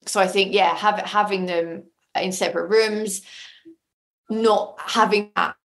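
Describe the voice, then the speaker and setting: A woman's voice: mid-range voice, fairly even intonation, unhurried, a bit bright. A woman speaking casually as an interview guest, explaining things emphatically and often repeating words for emphasis. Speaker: audio drama maker, podcast guest.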